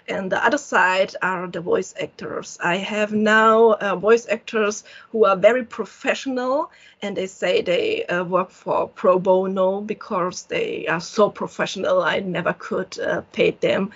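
A woman's voice: medium pitch, fairly even intonation, husky. Brief: German-accented woman, indie dev interviewee, hesitant non-native English, dull lo-fi sound. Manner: halting, searching for words, frequent restarts. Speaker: solo indie game developer. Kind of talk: casual interview answers.

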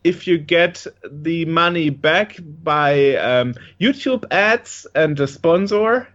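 A man with a high voice asks questions as an interviewer. He sounds curious and casual, and his audio is muffled and lo-fi. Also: slow pace, a bit bright. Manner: inquisitive, questioning. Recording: dull lo-fi audio.

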